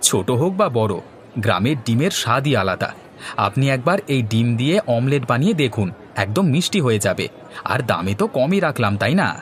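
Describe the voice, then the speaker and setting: A man's voice: medium pitch, sing-song, brisk, slight background noise, close to an everyday voice. A man playing an earnest village chicken farmer in scripted cartoon dialogue. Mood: insistent.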